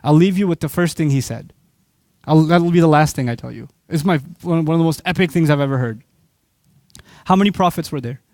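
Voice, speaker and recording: A man from Pakistan giving an animated religious lecture, asking the audience rhetorical questions. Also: a little high, a little fast, dry recording, studio quality. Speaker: Islamic speaker.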